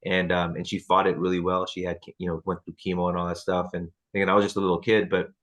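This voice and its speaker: A man's voice: low voice, little pitch movement, quick pace, fairly bright tone. A man in his thirties leading a team training over a video call, loose and chatty with lots of fillers and restarts. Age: 30s. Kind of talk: casual video call chat.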